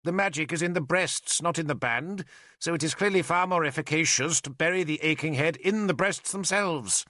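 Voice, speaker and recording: A man's voice: fairly high pitch, moderate pace, pushed and bright, dry recording, clean studio sound, pitched above the performer's own voice. A male narrator voicing an old, vain and cantankerous wizard who mocks and lectures in a sardonic way. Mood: lecturing. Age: older. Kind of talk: audiobook character voice.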